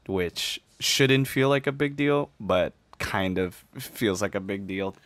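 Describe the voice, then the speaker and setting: A man's voice: lowish voice, slow, a bit bright, no echo. A young man of about twenty chatting casually, rambling and swearing for emphasis as he makes his points. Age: 19, turning twenty. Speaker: podcast host. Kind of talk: casual chat.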